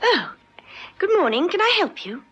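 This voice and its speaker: An obliging secretary in a vintage radio serial. Her voice is high and very lilting. She is polite, efficient and deferential. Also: very animated, normal speed, highs cut off, background noise. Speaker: actress in a radio police drama. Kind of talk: old South African radio drama acting.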